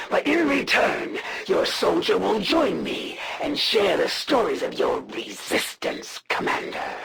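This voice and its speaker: A gravelly alien assassin villain from a video game, slow and haughty, swings dramatically up and down, solemn threats. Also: female voice, fairly low pitch, fairly bright tone, a little hiss or hum, exaggerated voice. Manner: formal, solemn, haughty, deliberate delivery. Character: proud female alien assassin, honor-bound, menacing, serving the Elders.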